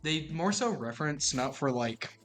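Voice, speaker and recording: A man's voice: on the high side, lively intonation, moderate pace, fairly bright tone. A young man co-hosting a podcast, chatty and building on others' points. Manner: agreeable, picking up others' points. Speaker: podcast co-host. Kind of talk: casual chat.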